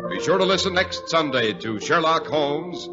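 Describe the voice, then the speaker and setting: Animated male old-time radio announcer. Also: fairly high pitch, normal speed. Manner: polished, formal delivery. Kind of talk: 1940s radio announcing, scripted. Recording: dull vintage radio recording, organ music. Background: loud organ music.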